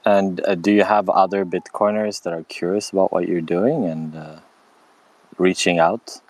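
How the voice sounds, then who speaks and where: Moderator guy, really deep voice, dry sound. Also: steady tone, normal speed, dry recording. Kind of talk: hosting a live audio room.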